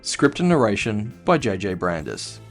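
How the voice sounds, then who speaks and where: A man's voice: lowish voice, very animated, moderate pace, vocal fry, soft background music. A man giving a scripted first-person narration of an audio fiction story as its author. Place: dry, close recording space.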